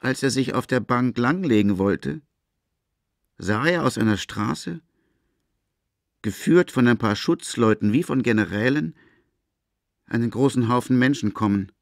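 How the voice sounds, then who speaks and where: A man's voice: mid-range voice, lively intonation, unhurried, creaky, long pauses, dry recording, studio quality. A man reading scripted literary narration as the narrator of a radio play.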